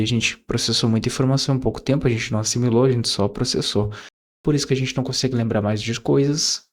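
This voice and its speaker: Rambling young man musing. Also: mid-range voice. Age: 20s. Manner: rambling, many fillers and self-corrections. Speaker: YouTuber. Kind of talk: casual reflective monologue.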